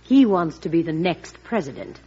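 Frail, shrewd first lady. Genteel, measured, very breathy voice, muffled vintage radio recording. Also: fairly low pitch, lively intonation, mellow. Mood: shrewd, doubtful. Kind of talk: classic radio drama acting.

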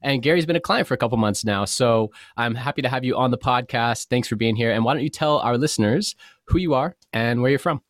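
A man's voice: mid-range voice, fast, dry recording, studio quality. A man from southern California hosting a podcast in a casual, conversational interview style. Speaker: podcast host.

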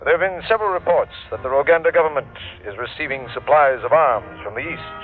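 A man's voice: medium pitch, lively intonation, a little fast, soft background music, natural voice. A man playing a persistent, polite news reporter in a formal interview within a classic radio drama. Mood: probing. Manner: formal, probing. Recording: dull old radio recording.

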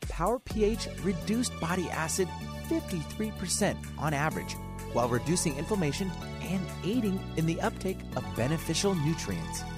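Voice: medium pitch, sing-song, moderate pace. Persuasive ad announcer over music. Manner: smooth, persuasive. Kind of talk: scripted commercial read. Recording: ad with music bed.

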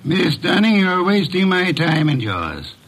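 An actor playing a curt, imperious gourmet detective boss in a classic radio drama, with pompous, precise, formal diction. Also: male voice, sits high, very animated, a little slow, fairly bright tone, slightly rough, heavily acted. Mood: curt, dismissive.

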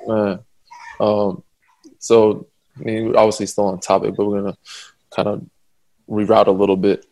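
Low-voiced man hosting a podcast. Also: completely flat delivery, normal speed, darkish tone, slight background noise, poor audio. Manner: casual, reactive, slangy. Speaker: podcast host. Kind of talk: casual podcast chat.

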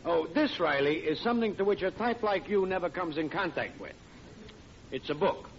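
High-voiced, pompous American factory worker. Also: male voice, expressive, normal speed. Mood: smug. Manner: pompous, mangles big words. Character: needling working-class factory co-worker, smug and self-improving. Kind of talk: 1940s radio comedy acting. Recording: noisy, dull vintage radio broadcast.

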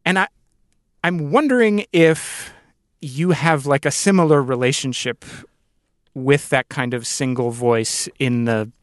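A man's voice: mid-range voice, unhurried, no room sound, studio quality. A man hosting a podcast interview, musing earnestly and reflectively in a conversational way.

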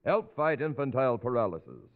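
A man's voice: medium pitch, very animated, fairly quick. An American man reading scripted announcements in 1940s radio style. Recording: tinny, narrow-band 1940s radio broadcast.

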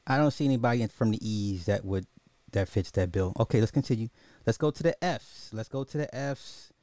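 A man's voice: fairly low pitch, fairly quick, dark tone, dry recording. A man hosting a casual, opinionated commentary podcast in slangy, informal speech, thinking aloud and often repeating his own phrases. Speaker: podcast host.

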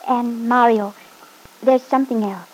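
An actress playing a loving, patient young Italian wife in a classic radio drama, speaking in a tender, coaxing way. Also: female voice, on the high side, steady tone, measured pace, a little mellow, a little hiss or hum, close to an everyday voice, rough recording. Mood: sweet, coaxing. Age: young adult.

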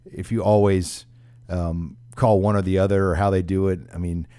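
Male sports radio host, American, low register, conversational delivery, dry studio recording. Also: little pitch movement, moderate pace, dark tone, clear, resonant tone, no echo.

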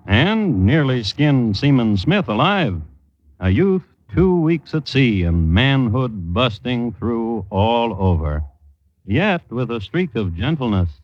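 An actor playing a wry narrator of a grim sea tale in a scripted old-time radio drama. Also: male voice, mid-range voice, big pitch swings, takes it slowly, no room sound. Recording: muffled, noisy old radio recording.

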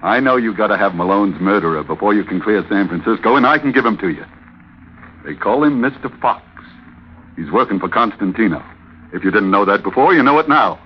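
An actor playing a breezy, sly, scheming sailor in old-time radio drama, cocky, insinuating and easygoing. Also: male voice, lowish voice, expressive, brisk, a little hiss or hum, close to an everyday voice. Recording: dull vintage radio recording.

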